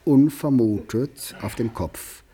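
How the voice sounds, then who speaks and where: A man's voice: medium pitch, slow pace, warm tone. A man narrating a radio drama in a literary, scripted style. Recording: clearly noisy old radio recording.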